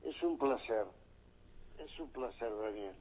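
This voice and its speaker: A man's voice: mid-range voice, lively intonation, slightly rough. A man in his eighties from Uruguay, a sports journalist reminiscing in a radio interview, lingering over his words and repeating phrases. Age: 80s. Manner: slow, savoring, repeats phrases warmly. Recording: dull lo-fi archived radio interview.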